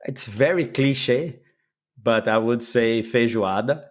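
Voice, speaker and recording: A man from Brazil speaks English with a Brazilian Portuguese accent, a native Portuguese speaker. His voice sits in a middle pitch. He answers as a podcast guest in relaxed chat, circling back over his words and breaking into laughs mid-story. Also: slow pace. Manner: hesitant restarts, laughs while telling anecdotes. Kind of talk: casual interview chat.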